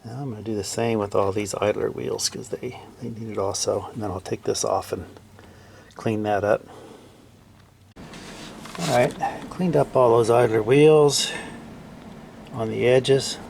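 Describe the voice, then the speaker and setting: A man giving casual hands-on repair commentary, haltingly thinking aloud as he works. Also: fairly low pitch, steady tone, creaky, darkish tone. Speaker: repairer demonstrating his work. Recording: faint background noise.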